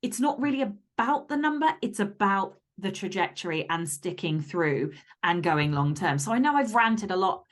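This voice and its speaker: A woman with a slightly low voice talks casually as a podcast guest. She is candid and blunt, stressing her points with feeling and swearing freely. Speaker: podcast guest, fiction author. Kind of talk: casual, candid interview chat.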